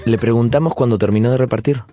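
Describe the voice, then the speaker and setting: An actor playing a nostalgic man who narrates his family's past in a measured, lyrical way for a radio drama. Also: mid-range voice, over music, close to an everyday voice. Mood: fond.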